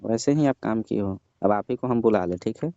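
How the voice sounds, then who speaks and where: A man on a phone call who sounds grumbling and argumentative, with a mid-pitched, dark voice. The line is muffled and dry, like a recorded phone call. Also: brisk, no room sound. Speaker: caller. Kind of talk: scripted comedy phone call.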